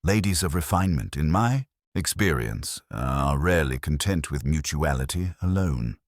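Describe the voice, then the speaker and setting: A man reads the part of a refined, shrewd lady from a storybook mystery. The words come prim and precise, with dry insinuation, in a very low register recorded dry. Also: animated, moderate pace, dry recording. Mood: arch, prim. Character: genteel, shrewd lady of refinement, drily arch.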